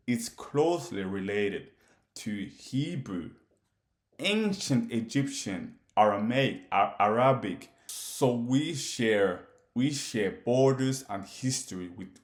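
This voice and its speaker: A man's voice: medium pitch, reverberant. A Somali man, whose first language is Somali, speaking straight to the camera in a challenging, questioning way, pressing his points with emphasis. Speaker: commentator. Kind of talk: direct-address monologue.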